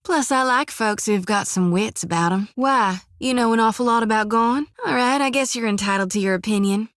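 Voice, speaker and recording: An actress voicing a folksy, earnest young priestess of a death god in a video game, with a drawl, rambling and plainspoken. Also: female voice, fairly high pitch, fairly quick, a bit bright, no echo, clean studio sound, lightly acted.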